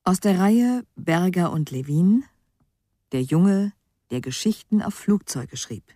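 A woman radio announcer reading scripted credits in a calm, formal, measured manner. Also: fairly low pitch, no room sound, studio quality. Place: dry studio.

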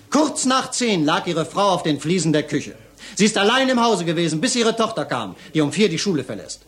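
An actor playing a stern, accusing police lieutenant in a 1960s radio crime drama, questioning curtly and relentlessly. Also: male voice, high-pitched. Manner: curt, commanding, relentless questioning.

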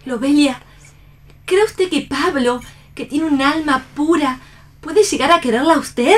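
Una actriz interpreta a una hija joven, inocente e idealista, dolida por amor, con tono serio de radioteatro. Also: female voice, sits high, a little slow, fairly bright tone, distant mic. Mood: hurt reproach. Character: wounded, idealistic innocent young daughter, romantic rival. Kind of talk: theatrical radio play reading.

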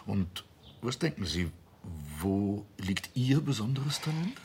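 An actor playing a brisk, formal school director in a German radio drama, speaking in a clipped, authoritative way. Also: male voice, fairly low pitch, expressive, darkish tone, a little hiss or hum. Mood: probing, curious. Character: Walter Gropius, brisk formal Bauhaus director, softening to curiosity.

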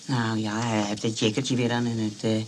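A man's voice: fairly low pitch, flat delivery, fairly quick, natural voice. A man playing a brash, impatient young grandson with a blunt, grumbling manner in a radio play. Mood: defensive. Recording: noisy old radio play recording.

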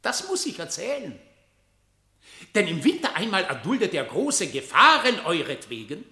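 A man's voice: high voice, wildly swooping pitch, normal speed, pushed and bright, roomy sound, heavily acted. A man playing a shrewd, indignant badger, declaiming reproachfully in a theatrical radio play. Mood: shrewd reproach. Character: badger, shrewd indignant nephew defending the fox.

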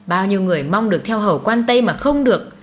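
A woman's voice: fairly high pitch, brisk, pitched above the performer's own voice, natural voice. A woman voicing a domineering, snobbish older mother who scolds indignantly in a dramatised audiobook. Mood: furious.

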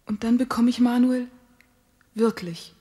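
A woman's voice: on the high side, a little slow, dark tone, husky. A woman playing a naive, lovesick young woman who speaks humbly and anxiously in a radio play. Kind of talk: radio drama acting.

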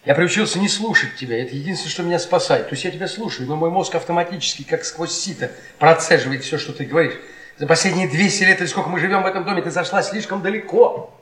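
Actor as bitter, browbeaten husband, echoey. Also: male voice, a little high, fairly bright tone, close to an everyday voice. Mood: weary bitterness. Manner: halting, repetitive, needling. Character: bitter, browbeaten middle-aged history professor husband. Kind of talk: theatrical radio play acting. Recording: echoey room, stage radio play.

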